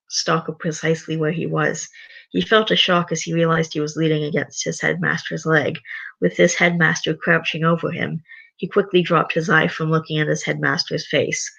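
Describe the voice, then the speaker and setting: Gravelly, raspy-voiced livestream storyteller. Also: medium pitch, fairly bright tone, highs cut off. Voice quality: voice getting raspy. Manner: rambling, self-correcting. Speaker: livestreamer reading stories. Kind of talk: reading a story aloud, then casual chat.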